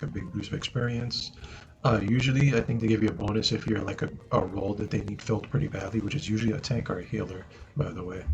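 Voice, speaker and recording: A man with a naturally low voice chatting casually as he streams a game, often asking "right?" and addressing viewers as guys. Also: darkish tone, highs cut off. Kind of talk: casual gameplay commentary. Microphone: desk mic he moves around.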